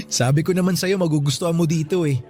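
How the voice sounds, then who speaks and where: A man's voice: a little high, a little fast, darkish tone, faint music behind, close to an everyday voice. An actor playing an affectionate, grateful boyfriend and young father in a radio drama. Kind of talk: Tagalog radio drama acting.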